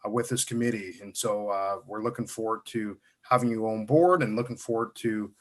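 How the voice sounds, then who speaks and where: A man facilitating a public meeting, moving the agenda along politely and pausing often on "uh". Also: lowish voice. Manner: polite, frequent uhs.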